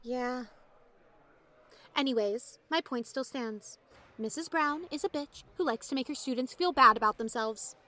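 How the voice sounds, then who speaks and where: A woman's voice: sits high, measured pace, pushed and bright. A woman playing an indignant, outspoken teenage schoolgirl in an audio drama, talking casually and emphatically. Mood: casual griping. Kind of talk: naturalistic audio drama acting.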